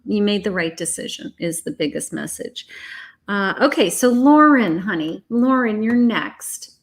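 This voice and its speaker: A woman doing a live tarot reading, chatty and reassuring, calling viewers honey and sweetheart, her voice starting to wear out. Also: medium pitch. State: losing her voice. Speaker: psychic tarot reader.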